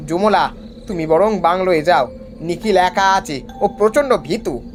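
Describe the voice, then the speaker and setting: A man's voice: high voice, measured pace, faint music behind. Man acting a brash young traveller. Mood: dismissive, bold. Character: bold, dismissive young man travelling with friends. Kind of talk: horror cartoon voice acting.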